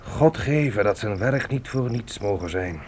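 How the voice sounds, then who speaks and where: An earnest frontier father in a radio play, instructing his boys. His low voice moves with lively swings, over faint noise and chirping crickets. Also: measured pace. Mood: solemn, fervent. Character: earnest pioneer father teaching his sons on the trek. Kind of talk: radio drama acting.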